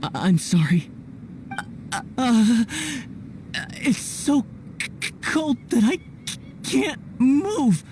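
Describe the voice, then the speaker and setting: Slow, shivering hapless adventurer. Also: male voice, very high voice, big pitch swings, heavily acted. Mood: miserable, freezing. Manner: stammering, halting. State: shivering with cold. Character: miserable freezing hapless male adventurer, meek and shivering. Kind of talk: animated game dubbing. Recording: clearly noisy background.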